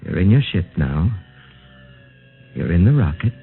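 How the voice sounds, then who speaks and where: Low-voiced commanding scientist, old radio. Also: male voice, very animated, heavily acted. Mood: commanding, hypnotic. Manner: measured, authoritative, deliberate. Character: commanding male scientist, clinical and probing interrogator. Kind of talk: mid-century radio drama acting. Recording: tinny narrow-band vintage radio broadcast, music.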